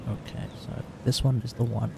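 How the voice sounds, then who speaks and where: A man giving casual livestream commentary on a game, often halting and repeating himself. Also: mid-range voice, little pitch movement, creaky. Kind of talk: casual live stream commentary. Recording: game music faintly behind.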